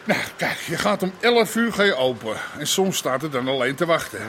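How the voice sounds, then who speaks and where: A gruff, streetwise gangster boss from in a radio play, blunt and coarse, talking as someone who knows everyone can be bought. His voice sits a little high for a man. A faint hiss lies under the recording. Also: big pitch swings, normal speed, fairly bright tone. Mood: instructing. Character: middle-aged Amsterdam underworld boss, gruff, scheming. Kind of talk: radio drama acting.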